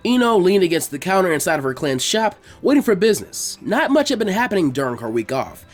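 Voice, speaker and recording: Brisk, high-pitched male narrator. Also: big pitch swings, faint music behind. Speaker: narrator of fan fiction readings. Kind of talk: scripted story narration.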